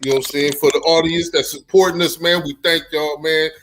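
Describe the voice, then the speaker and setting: A man's voice: a little high, steady tone, brisk, fairly bright tone, a little hiss or hum. A man hosting a casual podcast, signing off in laid-back slang and repeating "you know what I'm saying". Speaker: podcast host.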